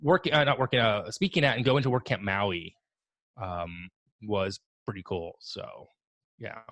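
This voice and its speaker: A man in his forties on a video call from his home office, talking in a relaxed, rambling, self-deprecating way. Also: fairly low pitch, unhurried, no echo. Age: 40s. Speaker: guest. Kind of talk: casual video call interview.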